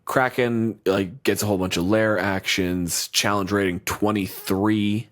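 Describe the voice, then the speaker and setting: A man co-hosting a podcast, blunt and profane, talking in quick bursts in casual banter. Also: on the low side, fairly even intonation, a bit bright. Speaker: podcast co-host.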